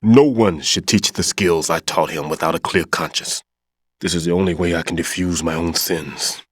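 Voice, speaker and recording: A man playing a grave, remorseful middle-aged bomb disposal veteran in a video game cutscene, speaking in a solemn, deliberate way. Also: low voice, husky, no room sound, clean studio sound. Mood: anguished, remorseful. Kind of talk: dramatic game cutscene acting. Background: background music.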